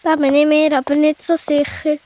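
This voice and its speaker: A woman's voice: high voice, completely flat delivery, normal speed, mellow, a little hiss or hum, lightly acted. A woman playing an impulsive young girl in a children's audio play. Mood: anxious.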